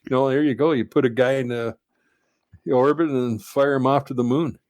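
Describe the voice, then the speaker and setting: A man chatting on a podcast, halting and repeating words as he talks. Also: mid-range voice, fairly even intonation, a little mellow, dry recording, clean studio sound. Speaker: podcast guest. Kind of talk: casual chat.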